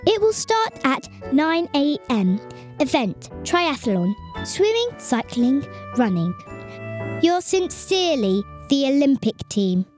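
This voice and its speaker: A woman voicing a formal, deliberate competition announcer in a storybook reading. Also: high-pitched, very animated, faint music behind, heavily acted. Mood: formal, measured. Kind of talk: storybook announcement, read aloud.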